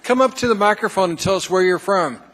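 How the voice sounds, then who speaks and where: A man chairing a city assembly meeting, running through agenda items in a brisk procedural way. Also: sits high, a bit bright. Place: council chamber. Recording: long reverb in a council chamber.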